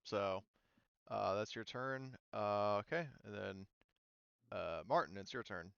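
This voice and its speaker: A man's voice: fairly low pitch, expressive, slow, creaky, fairly bright tone, clear, resonant tone, no echo, highs cut off. A man acting as game master in a casual online tabletop session, explaining rules informally. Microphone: voice call over the internet.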